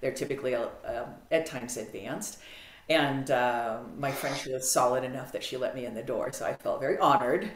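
A woman's voice: extremely low pitch, moderate pace, a little hiss or hum. Woman telling her story on a video call. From United States. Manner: animated, clear, frequent ums. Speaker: podcast guest. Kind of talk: casual interview storytelling. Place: home office, video call. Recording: roomy video-call audio.

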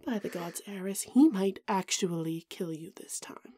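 A woman's voice: mid-range voice, measured pace, dark tone, slightly rough, no echo, clean studio sound. A woman playing a vain, haughty goddess in an audio roleplay drama, melodramatic and put-upon with a whiny edge. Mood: resigned.

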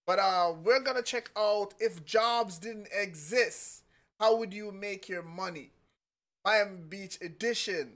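A man's voice: high voice, measured pace, fairly bright tone. A young man hosting a reaction channel, talking casually and laid-back from his home studio. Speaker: reaction video host. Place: home studio with monitors and speakers.

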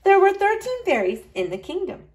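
A woman's voice: very high-pitched, wildly swooping pitch, brisk, a little mellow. A woman reading a storybook aloud to young children, expressively, in the manner of a school librarian.

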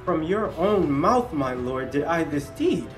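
A man's voice: on the high side, lively intonation, a little slow, faint music behind. A man playing a proud assassin knight. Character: assassin knight presenting a coffin. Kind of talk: Shakespeare reading over video call.

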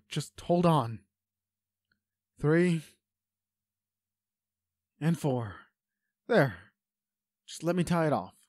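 A man's voice: fairly high pitch, darkish tone, dry recording, clean studio sound. A man playing an anguished, protective werewolf boyfriend in an audio roleplay, speaking with urgent, pleading emotion. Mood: reluctant, soothing. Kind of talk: intimate dramatic roleplay acting.

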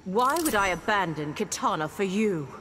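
An actress playing a loyal, defiant warrior bodyguard in a video game, firm in manner. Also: female voice, medium pitch, animated, moderate pace, noisy background, lightly acted. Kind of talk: video game character acting. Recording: long hall reverb with music behind.

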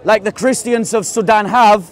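A man from England debating outdoors in a park, pressing his point with rapid, insistent questions. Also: high voice, steady tone, some chatter behind. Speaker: street debater. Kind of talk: open-air debate.

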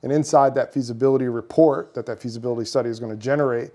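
A man's voice: medium pitch, fairly even intonation, very fast, dark tone. Earnest man in his thirties explaining. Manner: earnest, explanatory, frequent fillers and repeats. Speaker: company founder and builder. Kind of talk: interview answers. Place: office meeting room with high ceiling.

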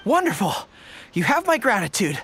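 Animated, boyish dog-eared young general. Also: male voice, high voice, moderate pace, full character voice. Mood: encouraged. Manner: earnest, eager, slightly flustered. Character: earnest, flustered young male dog-eared warrior general. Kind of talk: anime video game dialogue. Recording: music behind the voice.

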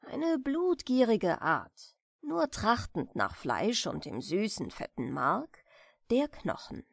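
Slow, expressive woman reading a bedtime story. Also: mid-range voice, gravelly, no echo, clean studio sound. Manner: gentle, measured, expressive storytelling. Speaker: audiobook reader of bedtime stories. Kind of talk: soft bedtime story narration.

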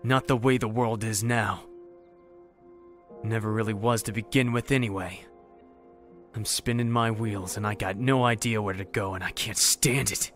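A man's voice: lowish voice, steady tone, normal speed, fairly bright tone, soft background music, close to an everyday voice. A man playing a jaded, grieving survivor in a zombie fan drama, talking in a curt, weary, sardonic manner. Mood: weary, dismissive. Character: jaded, blunt, grieving male survivor, bitter but loyal. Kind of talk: dramatic audio visual-novel fan drama.

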